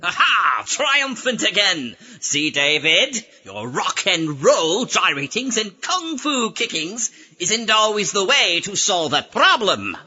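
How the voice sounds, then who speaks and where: A man's voice: high-pitched, sing-song, slow, few pauses, pushed and bright, muffled recording, exaggerated voice. A man playing a pompous, theatrical mystic villain in a comedic audio drama, with a grandiose, declamatory delivery. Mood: triumphant scolding.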